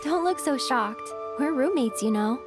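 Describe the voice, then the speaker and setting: A young woman adventurer with a high, soft voice. She is gentle, playful and perceptive, speaking in a video game drama style over loud music. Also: animated, measured pace. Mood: gentle, teasing.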